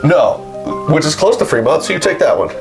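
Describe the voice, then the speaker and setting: A man with a slightly raised pitch trades jokes and teases his co-host in an easygoing, rambling chat. Music plays underneath his voice. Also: very animated, fairly quick. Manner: joking, teasing, rambling. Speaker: podcast co-host, title company rep. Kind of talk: casual chat.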